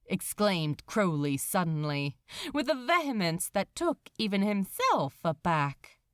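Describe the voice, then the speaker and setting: A woman narrates fiction with a slow, deliberate delivery. Her pitch moves widely for dramatic expression, and the recording is dry and close. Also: smooth, dry recording. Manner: expressive, measured.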